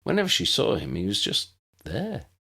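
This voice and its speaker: Male audiobook narrator: gravelly edge, very animated intonation, scripted storytelling. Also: lowish voice, moderate pace.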